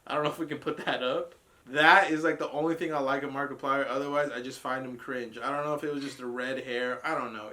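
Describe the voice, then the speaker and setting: A man's voice: mid-range voice, pushed and bright. A man co-hosting a casual reaction podcast, blunt and profane, ranting with frequent laughs. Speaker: podcast co-host reviewing a trailer. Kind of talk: casual group reaction chat.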